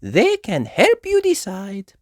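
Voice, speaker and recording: A woman's voice: mid-range voice, huge pitch swings, no room sound, studio quality, pitched above the performer's own voice. A woman voicing an encouraging female gazelle teacher in a children's storybook, drawing out her words. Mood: reassuring. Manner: drawn-out, encouraging teacher talk.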